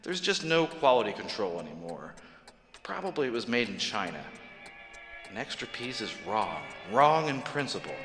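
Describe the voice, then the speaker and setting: A man voicing a fussy, precise accountant who narrates in a measured, dry way. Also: mid-range voice, sing-song. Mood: indignant. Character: fussy, precise middle-aged male accountant, rigid and easily rattled. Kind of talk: radio drama narration. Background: soft music.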